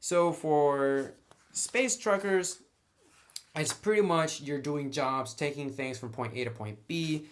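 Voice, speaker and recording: A man's voice: a little high, slow pace. A man giving a conversational review and explanation, stressing key words emphatically by drawing them out. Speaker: tabletop game reviewer.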